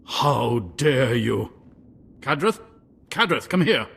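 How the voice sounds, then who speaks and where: Pompous, swooping museum curator. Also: male voice, medium pitch, very animated, slow pace, creaky, fairly bright tone, husky, heavily acted. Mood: indignant. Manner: haughty, indignant, formal diction. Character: pompous, snooty male museum curator, easily outraged. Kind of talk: video game voice acting.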